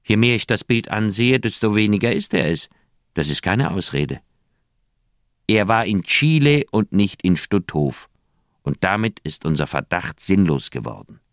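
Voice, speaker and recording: Middle-aged doctor, very low voice, earnest and wordy, persuasive loyal friend, dry close radio drama sound. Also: male voice, big pitch swings, unhurried, no room sound. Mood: dismissive, uneasy. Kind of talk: German radio crime drama acting.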